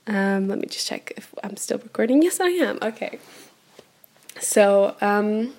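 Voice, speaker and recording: A woman's voice: mid-range voice, lively intonation, unhurried, darkish tone. Brief: twenties woman, German native speaker, candid rambling host, phone-recorded. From Germany, raised in Portugal. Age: 20s. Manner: chatty and candid, frequent ums and laughs. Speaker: podcast host. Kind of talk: unscripted solo podcast. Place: indoors, sitting on the floor.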